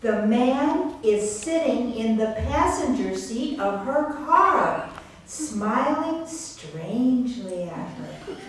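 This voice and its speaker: In a very echoey room with faint noise, a woman narrates a scripted drama. She reads slowly, with lively, expressive swings of pitch. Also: far from the mic.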